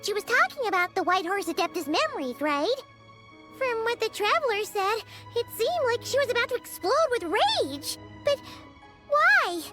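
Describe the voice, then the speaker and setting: A super high squeaky little fairy kid for an anime game, excitable, eager, music playing behind. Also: female voice, normal speed. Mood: puzzled, curious. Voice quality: squeaky, childlike. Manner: refers to self in third person, eager. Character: small excitable childlike flying fairy companion, female. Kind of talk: anime-style video game voice acting.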